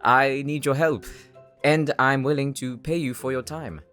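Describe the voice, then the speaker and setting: A man playing a demon's polite, composed elder half-brother in an anime-style audio roleplay, speaking with smooth, precise courtesy. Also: mid-range voice, fairly even intonation, unhurried, a bit bright, soft background music, close to an everyday voice. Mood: smug, persuasive.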